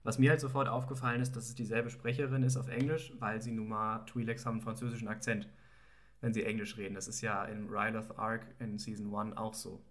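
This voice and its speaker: A man's voice: fairly low pitch, flat delivery, a little fast, fairly bright tone. A man co-hosting a podcast, chatting casually about a TV episode and explaining background with filler words. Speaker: podcast co-host.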